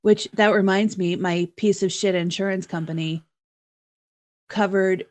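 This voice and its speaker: A woman's voice: medium pitch, fairly even intonation, a little slow, darkish tone. A woman co-hosting a casual podcast while sick with bronchitis, trading dry, blunt and profane quips. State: has bronchitis. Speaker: podcast co-host. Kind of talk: casual banter.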